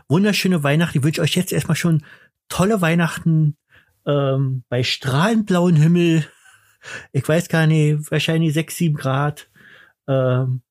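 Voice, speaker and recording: A man's voice: fairly high pitch, no echo, studio quality. A man hosting a podcast in casual chat, stumbling over his words and poking fun at himself. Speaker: podcast host.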